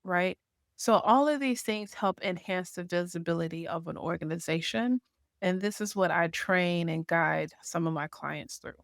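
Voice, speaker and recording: A woman's voice: on the low side, moderate pace, creaky, dry recording, clean studio sound. A woman guest on a podcast interview, explaining her points step by step and checking in with "right?". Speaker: podcast guest, marketing association founder. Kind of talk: conversational interview.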